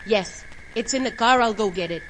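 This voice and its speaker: Woman playing a gentle, worried mother. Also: mid-range voice, moderate pace, slight background noise, lightly acted, poor audio. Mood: anxious. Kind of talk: audio horror drama acting.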